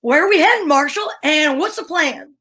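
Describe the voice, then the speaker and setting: High, plucky woman as a radio-serial deputy. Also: animated, normal speed, pushed and bright. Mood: earnest, plucky. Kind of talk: amateur old-time radio acting.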